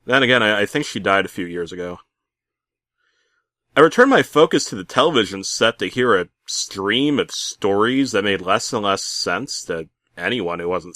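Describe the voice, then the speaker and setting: A man's voice: mid-range voice, a bit bright, no room sound, studio quality. A man reading a scripted first-person horror story in a dramatic, sometimes stammering manner. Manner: dramatic, halting with stammered repeats. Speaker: narrator of a parody horror story.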